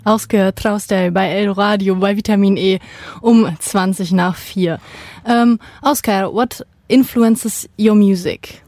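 A woman's voice: mid-range voice, fairly even intonation, takes it slowly, few pauses, darkish tone, no room sound. German-accented woman radio host, dry sound. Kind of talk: casual radio interview.

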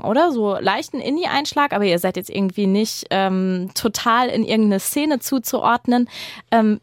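A woman's voice: medium pitch, no echo, clean studio sound. A woman hosting a podcast interview, asking chatty questions. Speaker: podcast host. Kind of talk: casual interview.

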